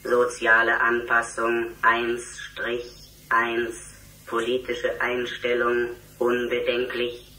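Robot computer voice for a radio play, dead monotone, sharp and a bit far off, echoey. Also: male voice, medium pitch, slow pace. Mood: flat, emotionless. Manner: monotone, staccato, mechanical recitation. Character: electronic robot speaker reading out surveillance files, emotionless. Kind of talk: radio drama acting.

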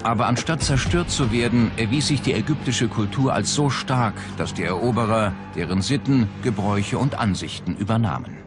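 A man's voice: fairly low pitch, moderate pace, hardly any pauses. A man narrating a documentary from a script. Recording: music behind the voice. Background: prominent background music.

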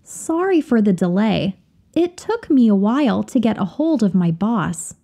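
A woman reading example sentences slowly and carefully, repeating each phrase for learners to copy. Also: mid-range voice, very animated, creaky, mellow. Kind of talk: slow, clear drill reading of example phrases.

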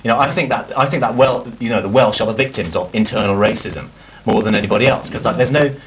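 A young man hosting a live TV music show, chatting loosely with many restarts and quick laughs. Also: fairly low pitch, a little hiss or hum. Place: indoor TV studio set styled as a cafe.

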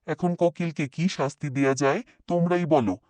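A woman's voice: low voice, moderate pace, a little mellow, no echo, voice pushed down, natural voice. A woman voicing a proud, bossy, indignant crow king in a children's cartoon story. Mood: aggrieved. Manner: haughty, scolding.

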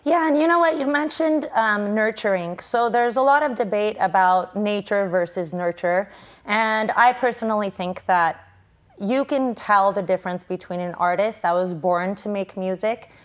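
Upbeat young woman TV host. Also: medium pitch. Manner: upbeat and polished, with some "um" fillers. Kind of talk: TV interview hosting. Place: indoor TV studio set.

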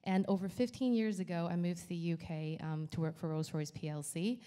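A woman's voice: on the low side, fairly even intonation, brisk, dark tone, clean tone. A middle-aged woman from Canada, a company founder giving an enthusiastic prepared talk on stage into a handheld microphone. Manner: enthusiastic, with small restarts. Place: event venue with brick wall and audience.

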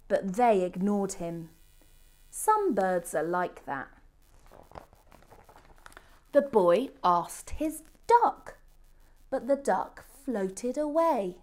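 A middle-aged woman reading a children's storybook aloud expressively, with deliberate dramatic pauses. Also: mid-range voice, a little mellow. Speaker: storybook reader. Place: indoor room by a Christmas tree. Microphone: camera a short distance away.

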